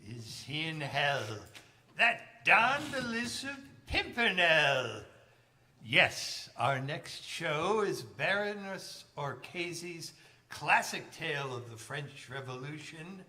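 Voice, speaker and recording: A man's voice: fairly high pitch, sing-song, slow, sharp tone. A man delivering a theatrical, scripted promotion for a stage show. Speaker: announcer promoting the next show. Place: indoor library stage performance.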